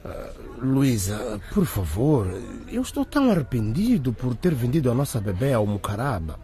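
A man acting a stammering, pleading husband, swooping pitch. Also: big pitch swings, normal speed, mellow, lightly acted. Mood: pleading, remorseful. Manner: stammering, hesitant, imploring. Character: remorseful, pleading husband and father, fresh out of prison. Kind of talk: radio drama acting.

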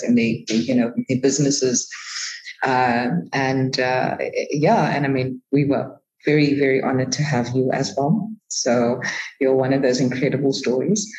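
A South African woman speaks as a guest in a casual interview. Her voice sits at a low pitch for a woman, and she talks in a halting, circling way, rephrasing as she explains. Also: darkish tone, poor audio.

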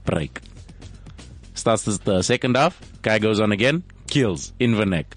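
Low-voiced man telling a story. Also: sing-song, a little slow, faint music behind. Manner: rambling anecdote, repeats words. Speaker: comedian guest. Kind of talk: casual storytelling.

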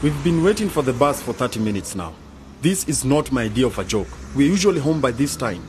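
An actor playing an eager, ambitious young man in a youth club, earnest and excitable, in a radio drama. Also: medium pitch, brisk, background noise, close to an everyday voice. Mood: puzzled, impatient.

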